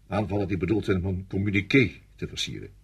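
A weary divisional general in a radio play. His voice is low and heavily rasping. He grumbles with gruff sarcasm while staying deferential to rank. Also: quick pace, exaggerated voice. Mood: bitter. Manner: gruff, sardonic, reluctant. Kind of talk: radio drama acting.

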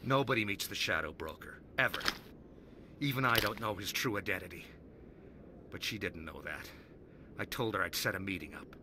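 A man's voice: deep voice, moderate pace, long pauses, noisy background, lightly acted. Man acting a cowardly, pleading crime boss. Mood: wary, explaining. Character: cowardly crime boss begging for his life. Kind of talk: video game voice acting.

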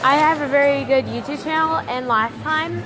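A young girl with a slightly raspy voice, chattily reviewing toys on a handheld phone in a big store, often distracted. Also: sits high, normal speed, very noisy. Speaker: vlogger. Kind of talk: casual toy review vlog. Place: large indoor retail store. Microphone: handheld phone camera.

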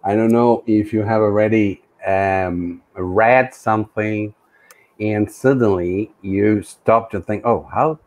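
A middle-aged man from Brazil, a native Portuguese speaker, talking hesitantly on a video call with many pauses. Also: lowish voice, steady tone, a little mellow. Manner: hesitant, many fillers and restarts. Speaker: co-host, language learner. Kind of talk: casual video call chat. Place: room indoors.

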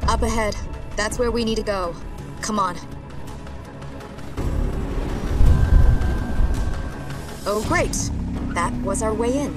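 A woman playing a brisk, curious, daring scientist in acted video game cutscene dialogue. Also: mid-range voice, lightly acted. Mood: eager. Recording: loud game music over the voice.

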